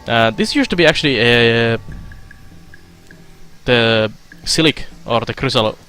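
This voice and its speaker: Bright-voiced man from Finland streaming a game. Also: mid-range voice, clean tone, faint music behind. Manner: chatty, halting, many fillers, reads game text aloud. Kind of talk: casual let's play commentary.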